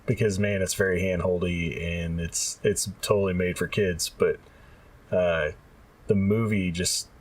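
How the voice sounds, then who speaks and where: Man, podcast co-host, low husky voice, casual chat, distant from the microphone. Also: steady tone, a little slow. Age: adult.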